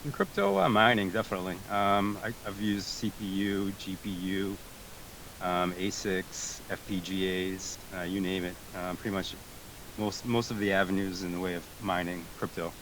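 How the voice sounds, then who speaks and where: A man with a very low voice answers questions on a voice call, pausing and restarting often. He comes from Greece. A faint hiss sits under the line. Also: a bit bright, rough recording. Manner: hesitant, many fillers and restarts. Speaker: guest, tech support team member. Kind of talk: casual interview answers. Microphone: remote voice chat call.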